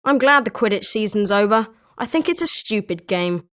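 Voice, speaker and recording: A woman's voice: medium pitch, animated, moderate pace, fairly bright tone, close to an everyday voice. A woman voicing a chatty, opinionated young student at a wizard school in scripted video game lines.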